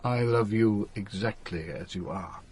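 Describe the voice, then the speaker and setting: Calm, secretive man played by an actor. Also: fairly low pitch, unhurried, a little hiss or hum, close to an everyday voice. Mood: tender, serious. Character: calm, secretive male smuggler, a protective lover. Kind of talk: radio drama acting.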